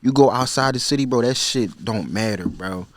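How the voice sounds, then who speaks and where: A man in his twenties with a Philadelphia accent, a podcast guest talking in a relaxed, slang-heavy, rambling way. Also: mid-range voice, moderate pace, no echo. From Philadelphia, USA. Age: 20s. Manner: laid-back, slang-heavy, rambling with many fillers. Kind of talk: casual interview chat.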